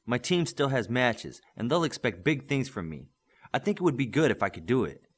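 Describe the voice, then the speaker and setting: A man voicing an eager young male superhero trainee in an audiobook, earnest and excitable. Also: medium pitch, normal speed, slightly rough. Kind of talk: audiobook character acting.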